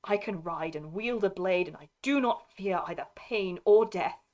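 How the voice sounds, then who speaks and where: A woman's voice: medium pitch, moderate pace, darkish tone, lightly acted. A woman voicing a proud, bitter young noblewoman warrior in a dramatic fantasy audiobook, with fervent, formal old-fashioned phrasing. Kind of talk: dramatic audiobook character reading.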